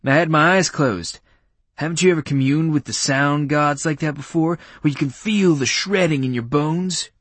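A man's voice: fairly high pitch, moderate pace, a bit bright, dry recording, voice pushed up. A man voicing a wisecracking guy who plays a goofy, guilty slacker in an audiobook, with exaggerated slangy dude talk. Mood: gushing, defensive.

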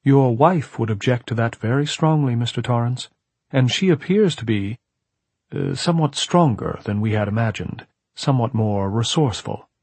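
A man's voice: medium pitch, animated, normal speed, vocal fry, darkish tone, breathy edge, no room sound, pitched above the performer's own voice. A man voicing a mild, well-bred ghostly servant in an audiobook, formal, coldly polite and insinuating. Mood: regretful, taunting. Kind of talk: audiobook character voice.

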